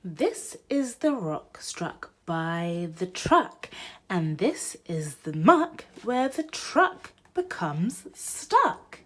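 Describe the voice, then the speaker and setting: A woman reading a children's storybook aloud in an expressive, sing-song way, addressing young children. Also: fairly low pitch, drawn-out words. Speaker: mother and presenter of a children's read-aloud channel.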